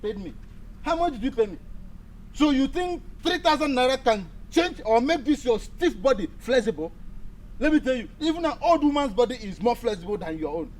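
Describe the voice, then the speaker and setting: A man's voice: very high voice, sing-song, fairly quick, a little hiss or hum, low-quality recording. A man with a Nigerian accent acting an impatient, strict dance teacher who scolds with exasperated questions in a comedy drama. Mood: exasperated scolding. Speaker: actor.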